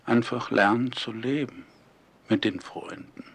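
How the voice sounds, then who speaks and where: A man conducting a reflective documentary radio interview in deliberate, measured, earnest phrasing, on a 1970s recording. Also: mid-range voice, gravelly, fairly bright tone, slightly rough.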